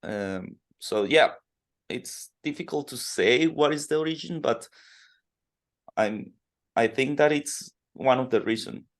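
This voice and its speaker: Slow hesitant Colombian man interviewing. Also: medium pitch. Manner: slow, hesitant, halting questions with fillers and soft laughs. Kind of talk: podcast interview in second language.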